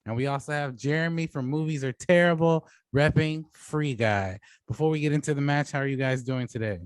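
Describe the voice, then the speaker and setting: A man's voice: a little high, brisk, clean tone, dry recording, clean studio sound. A man casually hosting and judging an online debate show, informal and given to chuckling. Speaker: debate show host and judge.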